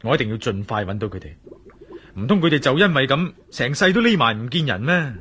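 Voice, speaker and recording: A man's voice: medium pitch, big pitch swings, fast, natural voice. A man with a Hong Kong Cantonese accent playing an earnest, questioning investigator hero in a radio drama. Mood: troubled, determined. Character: male science fiction hero, troubled, questioning, determined investigator. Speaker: voice actor.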